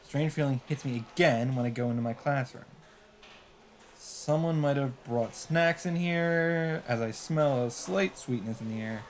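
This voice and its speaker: A man's voice: mid-range voice, unhurried, slight background noise. A man playing through a visual novel, reading its narration aloud and dropping in wry, offhand comments. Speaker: let's player. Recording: loud game music behind. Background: visual novel background music.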